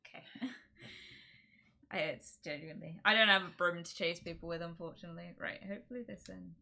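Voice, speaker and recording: Woman streamer, rambling and giggly, bright tone. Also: fairly low pitch, normal speed, creaky. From Czech. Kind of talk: casual live-stream chat.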